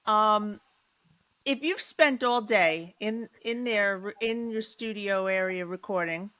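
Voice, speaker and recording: Bright-voiced woman, unhurried pace. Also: medium pitch, no echo. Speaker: podcast co-host. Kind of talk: casual chat.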